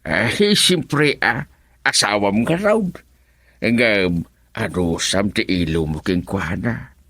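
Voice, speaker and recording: A man's voice: fairly low pitch, expressive, takes it slowly, bright, forceful tone, no room sound, full character voice. An actor playing a supportive, good-natured older father-in-law in a radio drama, with a Filipino accent. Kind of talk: Ilocano radio drama acting.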